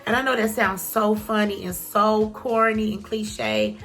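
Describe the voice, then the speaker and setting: A woman's voice: medium pitch, sing-song, measured pace, fairly bright tone. A woman talking casually to camera as a vlogger, chatty and encouraging, with a stuffy nose.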